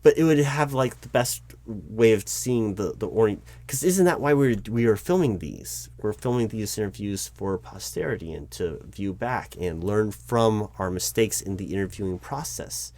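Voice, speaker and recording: A man playing an exasperated corporate hiring manager in a one-sided interview roleplay, talking in a rambling, halting way with stammers and self-corrections. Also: lowish voice, expressive, darkish tone. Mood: flustered, explaining. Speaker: roleplay performer. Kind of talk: improvised one-sided job interview roleplay, spoken aloud.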